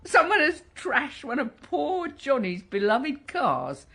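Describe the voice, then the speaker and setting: A young woman suspect in a radio drama, high-voiced and swooping. She is slow and drawling in her sarcasm, openly mocking. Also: wildly swooping pitch, gravelly, a bit bright, faint music behind, heavily acted. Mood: mocking, incredulous.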